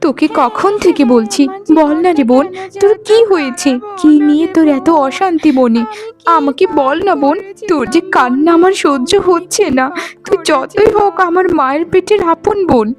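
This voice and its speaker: A woman voicing a kind, trusting young wife and devoted sister in a melodramatic cartoon, earnest and expressive. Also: high-pitched, normal speed. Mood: concerned. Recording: music bed under the voice.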